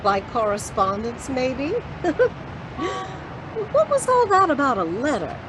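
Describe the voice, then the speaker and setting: Woman playing a sassy, animated tough gal sidekick. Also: high voice, takes it slowly, exaggerated voice. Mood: teasing. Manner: teasing, sarcastic. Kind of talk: hard-boiled detective radio drama. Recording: noisy track with outdoor city ambience.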